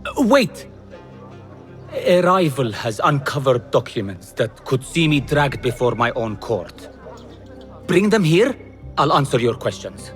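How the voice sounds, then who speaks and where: A man's voice: medium pitch, sing-song, unhurried, long pauses. An actor playing a venal, self-important male court official in a game cutscene, haughty, clipped and grudging. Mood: haughty, bargaining. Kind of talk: video game cutscene acting. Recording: long echoing hall, music behind.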